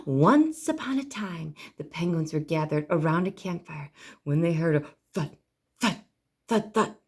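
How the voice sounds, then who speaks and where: Woman as a goofy, cheerful penguin, animated pitch. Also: measured pace, husky, heavily acted. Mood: eager storytelling. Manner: playful, corny joke delivery. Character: silly, goofy, cheerful male penguin telling campfire stories.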